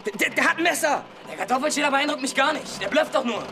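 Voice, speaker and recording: A man's voice: very high-pitched, animated, fast. An actor playing an aggressive, taunting teenage boy in a radio crime drama, with a youthful, goading delivery. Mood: agitated scornful. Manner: cocky, goading. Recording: music and traffic behind voice.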